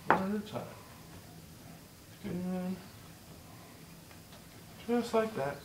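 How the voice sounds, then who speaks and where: A man teaching a movement drill step by step, encouraging and repeating himself, slightly hoarse and short of breath. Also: sits high, expressive, drawn-out words, echoey room, distant mic, very noisy. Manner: encouraging, repeats "just like that" and "good". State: losing his voice, a bit out of breath. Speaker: instructor. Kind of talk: step-by-step demonstration lesson.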